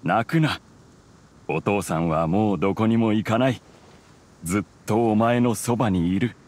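Man acting a low-voiced, gentle solemn father. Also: natural voice. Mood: tender reassurance. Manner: grave, measured, tender. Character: gentle, solemn father, a hunter with lost memory. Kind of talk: anime-style game dialogue.

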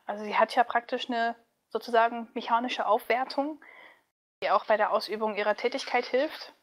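A woman with a fairly high voice talks casually as an interview guest. She is a voice actress who explains things in a thoughtful, slightly giggly, conversational way. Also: fairly even intonation, moderate pace.

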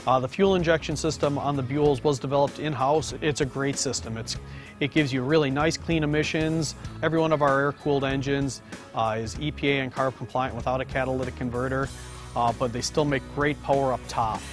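A male engineer talks through engine technology in a casual, enthusiastic manner, with frequent fillers. A music bed plays clearly behind him. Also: medium pitch, fairly even intonation, fairly quick. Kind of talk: explaining on camera.

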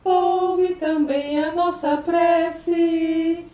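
Woman singing a slow hymn, echoey room. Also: very high-pitched, fairly even intonation, mellow. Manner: slow, alternating verses in a hymn. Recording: echoey room with faint music.

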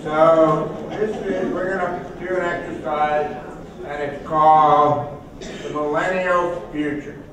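A man in his sixties giving a conversational keynote speech in an informal, halting way. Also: on the high side, steady tone, crowd noise. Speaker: keynote speaker. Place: large hotel conference ballroom, at podium. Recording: echoey hall from far off, noisy.

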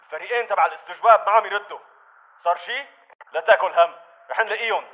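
A man's voice: high voice, rapid-fire, phone-quality sound, close to an everyday voice. A man playing an uneasy militant with a Levantine Arabic accent, speaking in clipped, questioning video game chatter. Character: uneasy male militant checking on comrades.

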